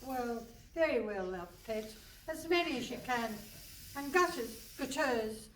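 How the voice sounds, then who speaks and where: A woman's voice: a little high, animated, a bit bright. A woman playing a brusque, condescending older English detective inspector in a comic radio play on stage. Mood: bossy, brisk. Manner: brisk, dry, condescending, calling people pet and love. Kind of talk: comic radio play before a live audience. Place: hall stage with a live audience. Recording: distant stage pickup with some noise.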